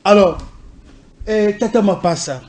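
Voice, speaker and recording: A male interviewer asks long, formal questions of a political guest, pausing on hesitant fillers as he builds them. His voice sits fairly high. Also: a little mellow.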